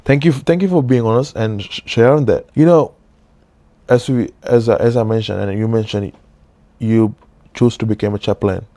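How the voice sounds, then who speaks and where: A man from Sri Lanka hosting an interview, his voice mellow and dark. The sound is dry and close, and he phrases his questions haltingly, often breaking in with small affirmations. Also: lowish voice, no echo. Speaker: podcast host. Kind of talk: interview conversation.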